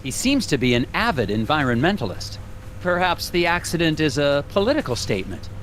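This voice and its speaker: An earnest FBI investigator from an animated game, speaks in a lively, slightly high voice. He sounds puzzled and matter-of-fact, over a clear rumble. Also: fairly quick. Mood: inquisitive. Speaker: FBI agent.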